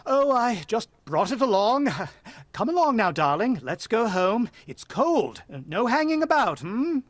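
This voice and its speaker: A worried country father in a vintage radio drama. His voice sits high for a man and moves widely in pitch. He narrates with grave suspense and speaks to his family with anxious urgency. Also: big pitch swings, measured pace, no room sound, full character voice. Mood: anxious, coaxing.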